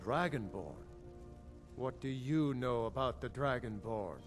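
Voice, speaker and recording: An actor playing a weary, dignified Nord jarl in a fantasy video game, speaking in a stately, measured, authoritative way. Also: male voice, mid-range voice, lively intonation, faint music behind, close to an everyday voice. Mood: intent.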